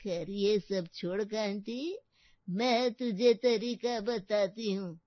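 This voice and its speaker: Woman putting on a slow, teasing old grandmother. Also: mid-range voice, dry recording, dull audio, natural voice. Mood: amused, sly. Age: older. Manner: drawling, mocking, bossy.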